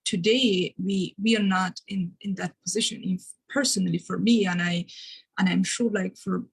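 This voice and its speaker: A woman's voice: mid-range voice, vocal fry, husky, rough recording. A woman from Afghanistan moderating a panel discussion, speaking hesitantly with many fillers and restarts. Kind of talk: panel discussion, personal remarks.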